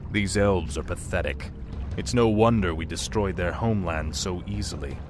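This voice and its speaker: An actor playing a grim, arrogant undead knight prince in a game cutscene, haughty and urgent. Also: male voice, sits low, moderate pace, noisy background, natural voice. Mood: curt, contemptuous. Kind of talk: video game cutscene acting.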